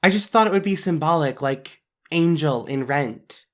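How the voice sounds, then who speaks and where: An overcommitted superhero playwright-producer played by a man with a fairly high male voice. He is defensive and passive-aggressive, brushing things off with breezy sarcasm in natural audio drama style. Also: expressive, moderate pace, close to an everyday voice. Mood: earnest, awkward.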